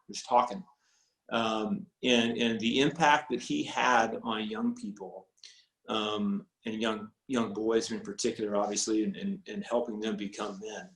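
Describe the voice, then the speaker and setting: A man in his forties, a high school football coach, talking casually on a video call, rambling with many restarts and fillers. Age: 40s. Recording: roomy echo on video call audio.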